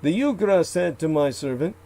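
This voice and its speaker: A man reciting old legends aloud, with slow, deliberate delivery, archaic phrasing and occasional asides where he corrects himself. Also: on the high side, animated, dark tone. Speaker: radio show guest.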